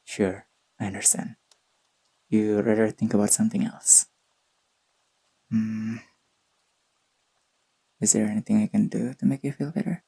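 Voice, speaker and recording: Performer playing a young boyfriend, unhurried, breathy and husky, soft-spoken, affectionate teasing, intimate sleep-aid audio. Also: mid-range voice, flat delivery, poor audio. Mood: gentle, reassuring. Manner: tender, playful, murmured delivery.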